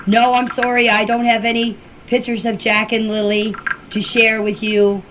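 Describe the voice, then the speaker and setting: A woman's voice: fairly high pitch, little pitch movement, normal speed, noisy background. An older woman answering questions informally in a plain-spoken, insistent, repetitive way, recorded as an amateur voice clip. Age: older, grandmother. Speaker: relative speaking out about a missing children case.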